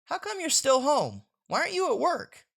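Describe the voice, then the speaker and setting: A man voicing an excitable, scheming young boy from a comic strip with theatrical, exclamatory delivery. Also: very high voice, normal speed, vocal fry, voice pushed up, full character voice. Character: excitable, dramatic, scheming six-year-old boy.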